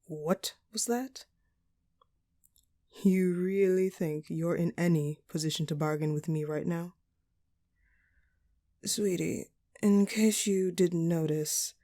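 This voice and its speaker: A woman playing a dominant, hungry female vampire in an audio roleplay, taunting and menacing her prey in a condescending, breathy voice. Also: lowish voice, mellow. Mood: scornful, irritated. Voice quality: breathy, often dropping toward a whisper. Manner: slow, teasing, condescending, menacing. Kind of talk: audio roleplay acting, addressed to the listener.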